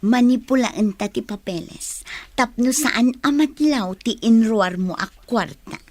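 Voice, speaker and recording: A woman's voice: on the high side, measured pace, darkish tone, dry recording. A woman playing a greedy, calculating accomplice in an audio drama, speaking in a coaxing, conspiratorial way.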